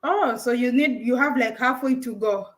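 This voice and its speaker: A woman's voice: on the high side, moderate pace, a bit bright. A woman hosting a live stream, chatting casually with a caller and laughing often while she unboxes a camera.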